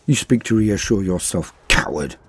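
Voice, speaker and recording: A man's voice: low-pitched, animated, normal speed, slightly rough. A man voicing an anguished, devout acolyte in a war story, intense and indignant. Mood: bitter contempt.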